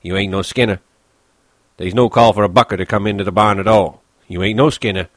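A man voicing a bitter, lonely older ranch hand who talks gruffly in rough rural dialect. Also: lowish voice, fairly even intonation, a little fast, fairly bright tone, no room sound, lightly acted.

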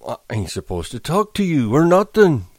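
A man's voice: fairly high pitch, big pitch swings, normal speed, no room sound, studio quality, pitched above the performer's own voice. A man doing a simple-minded giant of a farmhand, plaintive. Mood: wary. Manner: drawling, plaintive, simple speech. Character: big slow-witted childlike ranch hand, man.

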